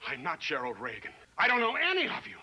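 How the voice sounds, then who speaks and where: A man's voice: fairly high pitch, wildly swooping pitch, fast, pushed and bright, a little hiss or hum, full character voice, low-quality recording. An actor playing a bewildered, desperate businessman in his thirties in a 1960 TV drama, intense and pleading. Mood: frantic, insistent. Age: 30s. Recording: old TV soundtrack with score.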